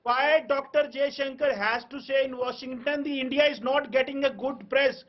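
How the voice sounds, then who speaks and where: A man's voice: very high-pitched, steady tone, moderate pace, highs cut off. A man arguing as a panelist in a heated TV debate, switching between languages. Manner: argumentative, switches between English and Urdu.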